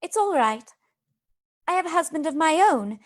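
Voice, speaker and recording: A woman's voice: high-pitched, lively intonation, moderate pace. A woman playing a compassionate, steadfast young wife in a radio play, formal and reassuring. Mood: kind, reassuring.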